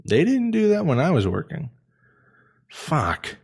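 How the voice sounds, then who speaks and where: A man's voice: fairly high pitch, wildly swooping pitch, unhurried, gravelly, darkish tone, breathy edge, heavily acted. A man playing an easygoing, slightly sheepish space explorer in a sci-fi audio drama, casual and a little hesitant. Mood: curious.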